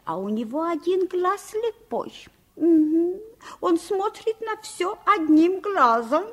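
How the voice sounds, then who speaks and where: An actress playing a kindly, theatrical French governess, strict yet playful, in an expressive, gently lecturing radio play style. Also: female voice, very high voice, slow pace, exaggerated voice. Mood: playful, gracious. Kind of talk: Soviet radio play acting.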